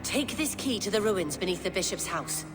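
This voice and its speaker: A woman's voice: medium pitch, expressive, normal speed, natural voice. Actress as passionate grieving noblewoman, widely swooping voice. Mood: bitter, resigned. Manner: impassioned, accusing. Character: proud, passionate grieving sister, Saxon noblewoman. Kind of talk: dramatic game dialogue. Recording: long hall reverb, music behind.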